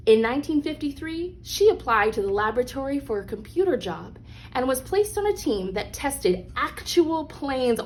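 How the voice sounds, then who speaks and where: A woman with a fairly high voice reads a picture book aloud to children. She phrases things deliberately and leans on key words for young listeners. There is some noise in the recording behind her. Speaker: read-aloud storyteller. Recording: clearly noisy background.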